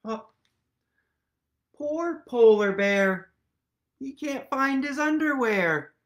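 A man in his thirties reading a picture book aloud to young children, expressive and full of questions for his listeners. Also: very high-pitched, drawn-out words. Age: 30s. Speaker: host, museum literacy educator reading aloud. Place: indoors, small quiet room at home.

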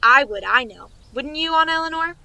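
A woman's voice: high voice, lively intonation, normal speed, creaky, pushed and bright, no echo, heavily acted. A woman playing a chatty, naive young niece in a radio play, gushing and rambling in a girlish way. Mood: eager, gushing. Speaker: actress.

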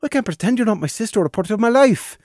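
A man's voice: high voice, animated, rapid-fire, a little mellow, no room sound, studio quality, voice pushed up. A man voicing a young stallion, an anxious brother who stammers and pleads for forgiveness. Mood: pleading.

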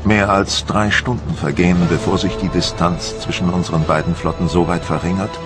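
A proud, resolute French admiral of the Napoleonic navy, played by a male actor, declaims in a low, solemn voice. His formal monologue belongs to a historical radio play, with music clearly heard underneath. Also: steady tone, brisk, close to an everyday voice. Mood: tense, weary. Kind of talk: dramatised first-person documentary monologue in German.

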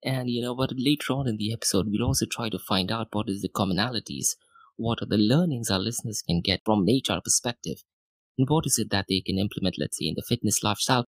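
A man's voice: lowish voice, fast, no room sound. A man hosting a podcast interview, enthusiastic and chatty as he asks questions. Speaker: podcast host. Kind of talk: interview host.

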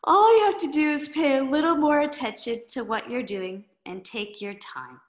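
Always-sleepy girl voiced by a woman, storybook, high, yawning, drawn-out, roomy. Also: natural voice. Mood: incredulous. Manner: yawny, drawn-out, high girlish voice.

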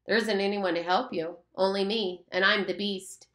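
A woman's voice: mid-range voice, steady tone, moderate pace, fairly bright tone, close to an everyday voice. A woman reading aloud and voicing a mocking, menacing pig's head that speaks as a beast, in a room with a noticeable echo. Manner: taunting, condescending, menacing. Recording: roomy echo.

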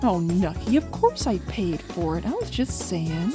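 A woman's voice: lowish voice, sing-song, measured pace, warm tone. A woman voicing a doting, coaxing bat mother with a dramatic manner in a cartoon comic dub. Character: doting, smooth-talking adult female bat mother. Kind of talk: animated comic dub acting. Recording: music bed behind voice.

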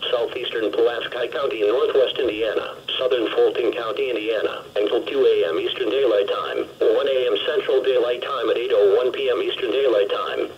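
A synthetic male announcer reads a formal weather bulletin at a middle pitch. The sound is dull, coming out of a small radio speaker with faint noise around it. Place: played through a small weather radio speaker, filmed indoors.

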